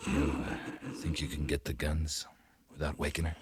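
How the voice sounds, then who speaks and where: Deep-voiced, weary American cavalry captain. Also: male voice, level tone, slightly rough, heavily acted. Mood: tense. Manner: terse, halting, weary. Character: weakened, weary cavalry captain, commanding and dry-humored. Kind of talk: 1950s radio western acting. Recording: noisy vintage 1950s radio recording.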